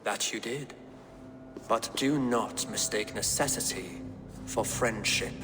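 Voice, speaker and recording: A pious cold king from a medieval video game, real slow n formal, big hall reverb, music behind. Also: fairly low pitch. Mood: solemn. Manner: measured, formal, scripture-like oratory. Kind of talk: video game dramatic acting.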